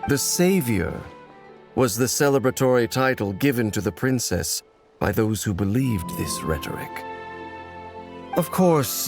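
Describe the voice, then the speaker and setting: An actor playing a brooding, coldly determined warrior in a video game, speaking in a measured, solemn, formal way. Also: male voice, fairly low pitch. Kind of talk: English video game voice acting. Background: background music.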